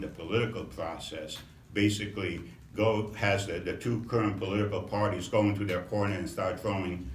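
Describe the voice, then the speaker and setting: A middle-aged man from Romania, a congressional candidate, giving a measured campaign introduction with frequent pauses and fillers. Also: low-pitched, a bit bright, slight background noise. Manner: measured, halting, many fillers. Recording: echoey room, mic at a distance.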